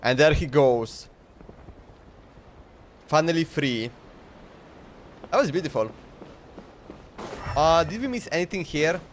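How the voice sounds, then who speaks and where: A man's voice: fairly high pitch, lively intonation, slow, pauses often, a little hiss or hum. A man streaming a video game, thinking aloud in short, slangy, casual bursts. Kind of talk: casual live gameplay commentary. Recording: game music playing behind.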